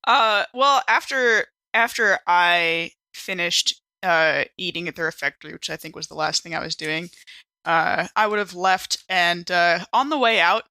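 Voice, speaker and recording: A woman's voice: lowish voice, measured pace, bright, forceful tone, smooth, no room sound, low-quality recording. A woman playing a tabletop game, improvising casually with a wry, playful manner.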